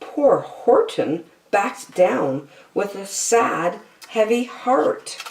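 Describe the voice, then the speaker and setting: A woman storyteller reading a children's storybook expressively, with dramatic, deliberate phrasing. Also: mid-range voice, very animated, gravelly, darkish tone. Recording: roomy echo.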